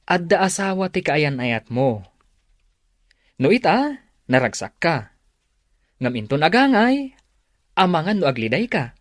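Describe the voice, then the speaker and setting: A man with an Ilocano accent acting a blunt, animated best friend in a radio drama. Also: on the high side, unhurried, long pauses, no echo, studio quality. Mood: teasing, warning.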